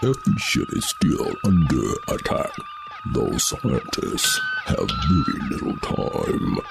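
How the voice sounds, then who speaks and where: Creature officer: extremely high squeak, slow, creaky, sci-fi audio drama. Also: male voice, huge pitch swings, loud music behind the voice, heavily acted. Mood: reporting. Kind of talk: scripted space-adventure audio drama.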